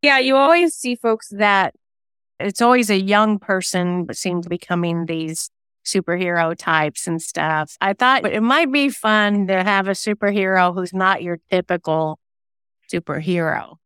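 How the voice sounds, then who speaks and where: A woman's voice: mid-range voice, unhurried, a bit bright, clear, resonant tone, no room sound. A woman talking casually as an interview guest, chatty and self-effacing. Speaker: interview guest, singer-songwriter and novelist.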